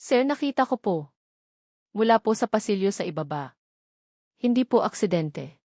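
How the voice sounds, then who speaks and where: A woman's voice: mid-range voice, animated, moderate pace, a little mellow, no echo. A humble elderly Filipino nanny, voiced by a woman narrator, full of fear. The voice trembles tearfully as in a radio drama, in a dry recording. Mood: frightened, insistent. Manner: sobbing, trembling.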